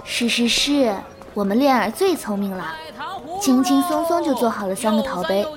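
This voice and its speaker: Woman acting a young martial heroine, fairly high voice, soft, patient, indulgent, composed, audio drama. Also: animated, normal speed, lightly acted. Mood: fond, patient. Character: gentle, patient, doting young swordswoman, older fellow disciple.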